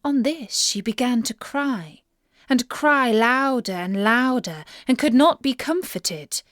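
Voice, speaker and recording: A woman storyteller reading a scripted fairy tale for children in an expressive, measured way. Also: a little high, no echo, clean studio sound.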